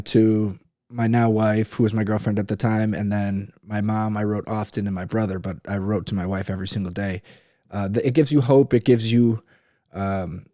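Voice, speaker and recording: A man in his late twenties talks to camera in a relaxed, rambling way, with fillers and restarts. His voice sits on the low side and sounds warm and mellow. The sound is dry and close, with no room echo. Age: 20s. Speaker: vlogger, army reservist. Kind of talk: casual talk to camera.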